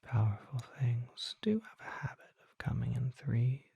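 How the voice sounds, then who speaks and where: An ASMR performer voicing a mysterious, suave vampire apothecary merchant in a breathy whisper, with measured, formal and coy phrasing. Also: mid-range voice, fairly even intonation, dry recording, phone-quality sound. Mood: hushed, intrigued. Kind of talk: ASMR roleplay, soft whispering.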